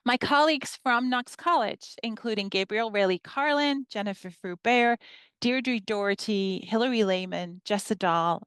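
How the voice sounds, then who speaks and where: A woman in her fifties from northern England, a history professor giving a measured workshop presentation to educators and reading her slides aloud. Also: medium pitch, a bit bright, smooth, no echo.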